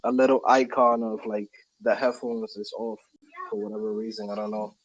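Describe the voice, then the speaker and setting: A man's voice: on the low side, flat delivery, normal speed. A man calling in by phone who sounds flustered as he fumbles with the app. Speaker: call-in guest. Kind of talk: casual voice chat. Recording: muffled phone mic with faint noise.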